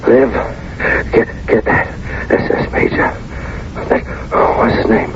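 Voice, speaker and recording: An actor playing a dying, vengeful prisoner of war in a radio drama, gasping out insistent demands in a breathy hiss. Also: male voice, on the low side, fairly even intonation, slow pace. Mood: dying, pleading. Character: dying, vengeful male prisoner-of-war, haunting his friend. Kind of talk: 1970s radio drama acting. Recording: muffled, noisy old radio broadcast.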